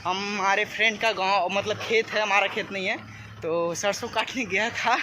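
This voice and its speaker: Guy w eastern UP accent doing a casual vlog, voice a bit high, noisy background, keeps checking ur following. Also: steady tone, moderate pace. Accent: eastern Uttar Pradesh. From Gorakhpur, India. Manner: repetitive explaining with frequent check-in tags. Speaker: vlogger. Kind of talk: casual vlog, explaining to viewers while doing things. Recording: clearly noisy amateur vlog audio with ambience.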